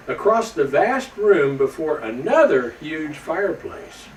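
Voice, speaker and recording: Man playing an animated, folksy storybook Santa, roomy sound. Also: on the high side. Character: Santa Claus, jolly old man reading aloud to children. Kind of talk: storybook read-aloud to children. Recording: roomy, distant microphone with faint background noise.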